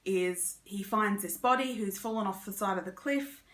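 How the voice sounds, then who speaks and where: Bright-voiced woman book vlogger in echoey room. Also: medium pitch, normal speed. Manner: chatty, informal, opinionated. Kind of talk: casual monthly reading wrap-up chat.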